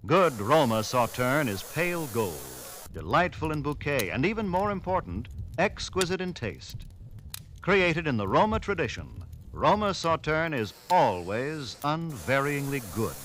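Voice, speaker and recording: Male announcer, 1940s radio advertisement, formal, polished, animated pitch, slightly hissy recording. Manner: polished, formal, measured salesmanship. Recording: hissy vintage radio broadcast.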